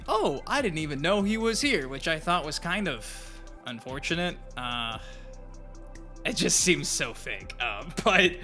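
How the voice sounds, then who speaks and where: A man with a bright, forceful voice set a little high talks as a gaming channel host. He gives casual opinions in loose, slangy language and speaks straight to his viewers. Music plays behind him. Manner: colloquial, profane, addresses viewers directly.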